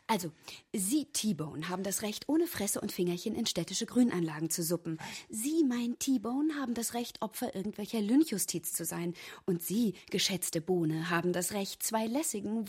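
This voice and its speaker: A woman's voice: medium pitch, darkish tone, husky. An actress playing a vain, sardonic female cop in a radio drama, mocking and theatrically sarcastic. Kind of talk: German radio drama acting.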